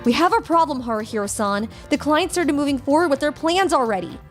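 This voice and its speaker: A woman's voice: high voice, expressive, fairly quick, faint music behind. A woman playing a confident, teasing young ex-delinquent who runs a company, self-assured and playful in a comic manga dub. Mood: businesslike.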